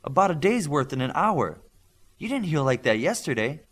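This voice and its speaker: A man voicing a young female superhero healer in an audiobook, brisk, bossy and matter-of-fact. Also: a little high, big pitch swings, pitched above the performer's own voice. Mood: intrigued.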